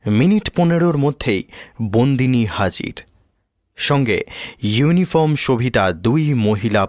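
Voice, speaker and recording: A man narrating a scripted audio story in a dramatic, deliberate manner. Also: mid-range voice.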